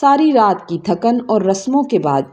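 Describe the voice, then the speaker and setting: A woman's voice: mid-range voice, very animated, a little mellow, highs cut off. A woman narrating a scripted first-person story in an emotive storytelling manner.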